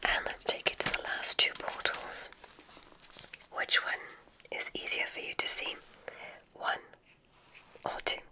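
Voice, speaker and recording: An ASMR performer playing a kindly witch examiner in a whispered roleplay, speaking in a soothing, gentle, deliberate way with soft approval. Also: low-quality recording.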